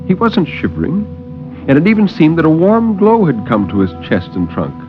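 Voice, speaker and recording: A man narrating a dramatic horror story in a measured, grave, theatrical 1950s radio style. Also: a little high, wildly swooping pitch, over music. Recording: dull vintage 1950s radio recording.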